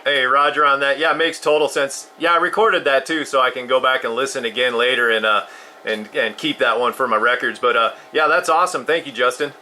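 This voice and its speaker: A man's voice: medium pitch, steady tone, fairly quick. A man chatting casually as a radio hobbyist, agreeable and a little hesitant in his phrasing. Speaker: radio hobbyist recording the contact. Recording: clear background radio noise and clicks.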